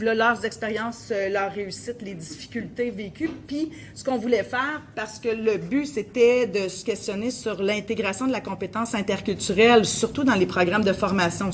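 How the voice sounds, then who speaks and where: A woman with a Québécois French accent presents her research at a conference, pausing often with fillers and restarting her sentences. Her voice sits at a middle pitch, with a faint low rumble in the background. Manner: hesitant, many fillers and restarts. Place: conference room.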